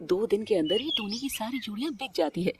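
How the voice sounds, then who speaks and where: A woman's voice: medium pitch, lively intonation, fast, music in the background. A woman reading scripted narration for a children's story as its narrator.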